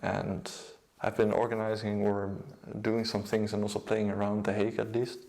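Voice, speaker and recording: A young man with a Dutch accent, a music producer and DJ, giving a casual, low-key account of his work. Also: monotone, normal speed, creaky, darkish tone. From Netherlands.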